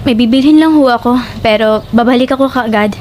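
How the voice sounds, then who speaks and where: A woman's voice: on the high side, fairly quick, darkish tone, natural voice. A woman playing a caring but troubled young friend in a Tagalog horror audio drama. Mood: worried, hurried. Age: young adult. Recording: noticeable background noise.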